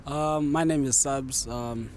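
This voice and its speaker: A man's voice: medium pitch, fairly even intonation, takes it slowly, darkish tone. A man from South Africa chatting casually as a music artist being interviewed. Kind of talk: casual chat. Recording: outdoor urban traffic noise.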